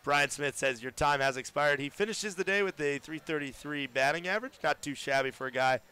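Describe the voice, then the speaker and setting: Bright-voiced young play-by-play man. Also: on the high side, a little fast, clean tone. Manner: excitable, shouts big plays. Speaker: play-by-play announcer. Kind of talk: radio baseball play-by-play. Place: ballpark broadcast booth.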